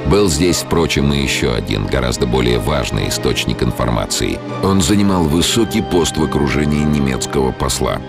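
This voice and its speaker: A man reads a documentary script in an extremely deep, low voice, measured and solemn. His studio voice-over sits on top of a loud music score. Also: expressive. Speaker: documentary narrator. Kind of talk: scripted narration.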